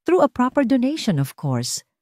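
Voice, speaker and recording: A woman's voice: a little high, huge pitch swings, normal speed, darkish tone, no echo, studio quality, voice pushed up. A woman with a Filipino accent voicing an earnest, decisive, wealthy single father in an audiobook. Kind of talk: audiobook character dialogue.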